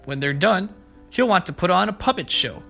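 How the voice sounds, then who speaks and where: A man's voice: high-pitched, expressive, moderate pace, gravelly, pushed and bright. A man hosting preschool story time, reading aloud and explaining things simply and expressively to young children. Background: background music.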